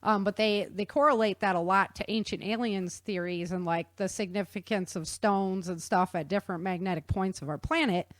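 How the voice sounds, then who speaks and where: A woman explaining things in an animated, conversational way with casual slang. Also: medium pitch, moderate pace, hardly any pauses, no room sound. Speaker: guest author explaining research.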